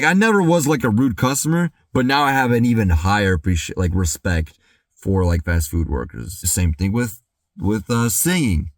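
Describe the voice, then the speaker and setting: Young man, casual reaction chatter, swings high and low, bone-dry close sound, rambling. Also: no room sound. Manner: rambling and excitable, full of "dude" and "like"; loud sudden outbursts when hyped. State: voice worn from singing practice. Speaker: music reaction YouTuber.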